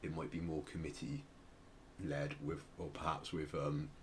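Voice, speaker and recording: A man's voice: extremely low pitch, flat delivery, unhurried, slight background noise. A man co-hosting a podcast, chatting casually and explaining things hesitantly, often circling back to rephrase. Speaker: podcast co-host. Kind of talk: casual podcast chat, explaining a musician's story.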